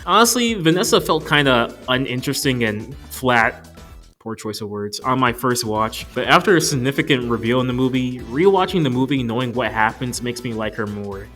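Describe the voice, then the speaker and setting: A young adult man delivers a casual, scripted video review with music playing behind him. His manner is joking and freely sweary, and his voice sits at an ordinary male pitch. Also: expressive, normal speed, a bit bright. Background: background music.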